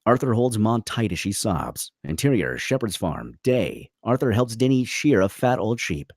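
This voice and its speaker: A man's voice: on the low side, very animated, normal speed, no room sound, clean studio sound. A man reading stage directions as the narrator of a dramatic film script at a table read.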